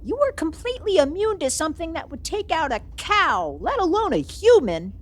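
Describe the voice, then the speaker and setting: An imperious villainous spellcaster queen from a fantasy audio drama, played by a woman. The voice is high and swings dramatically up and down, quick to take offence and full of melodramatic indignation. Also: big pitch swings. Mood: affronted. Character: haughty, easily affronted young female evil sorceress queen. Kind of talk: ASMR audio roleplay acting.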